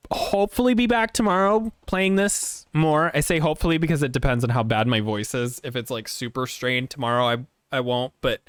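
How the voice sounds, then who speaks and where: A man streaming a game, hoarse from a long day of reading aloud, talking in a casual, rambling way. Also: a little high, a bit bright, no echo, studio quality.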